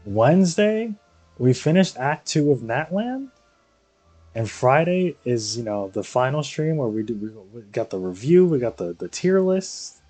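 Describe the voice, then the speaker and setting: A man's voice: mid-range voice, very animated, measured pace, mellow, faint music behind. A tired man chatting casually on a game livestream, rambling and slangy, repeating himself as he goes. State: tired after very long stream.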